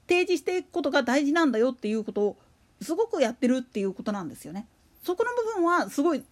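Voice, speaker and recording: Woman, high pitch, casual solo podcast commentary, rambling, opinionated, emphatic repetition. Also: darkish tone. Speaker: podcast host.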